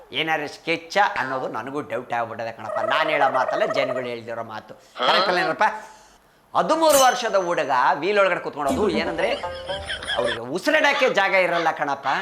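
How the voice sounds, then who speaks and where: Animated folksy man, very noisy recording. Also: on the high side. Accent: rustic Karnataka Kannada. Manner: folksy and chatty, addressing viewers with rhetorical questions. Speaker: host of a satirical political news segment. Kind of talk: comic news monologue in colloquial speech.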